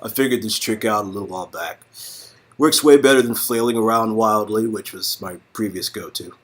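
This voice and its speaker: A man's voice: lowish voice, a little fast, natural voice. A man reading the part of a laid-back, teasing young neighbor in a story game, casual and hesitant. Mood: casual, pleased.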